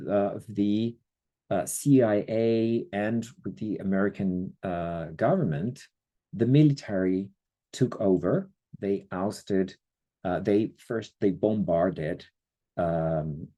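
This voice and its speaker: A middle-aged man from Chile giving an online history lecture, speaking haltingly with many fillers and checking in with listeners. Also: sits low, warm tone. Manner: slow, hesitant, many fillers, checks in with the audience.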